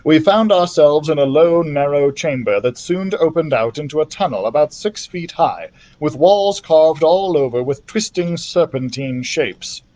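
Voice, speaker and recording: A man's voice: fairly high pitch, animated, a little slow, few pauses, a little mellow. A man reading a children's adventure story aloud as a library storytime reader, with careful, dramatic phrasing. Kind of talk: expressive scripted storybook narration.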